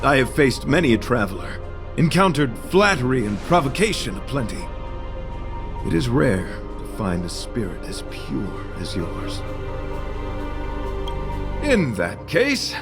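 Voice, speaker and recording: Game knight: noble, solemn and courtly, unhurried with sweeping intonation, music underneath. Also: male voice, medium pitch, big pitch swings. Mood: courteous, sincere. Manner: formal, florid, theatrical, solemn. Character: earnest, courtly male knight devoted to beauty. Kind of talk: English game dub acting. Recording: music behind.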